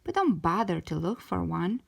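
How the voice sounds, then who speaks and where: A woman's voice: lowish voice, sing-song, moderate pace, vocal fry, heavily acted. A woman voicing a firm, exasperated but caring mother in a children's storybook. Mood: matter-of-fact. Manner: firm, emphatic.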